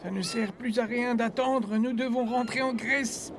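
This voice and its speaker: A man's voice: very high-pitched, steady tone, measured pace, noisy background. A man playing a worried, kindly older grandfather in a French cartoon dub.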